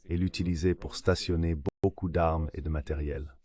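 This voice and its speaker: A man's synthetic voice reading translated political discussion as a measured voice-over. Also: extremely low pitch, fairly even intonation, dark tone. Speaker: voice-over translator of interview guests. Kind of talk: dubbed political discussion, measured read delivery.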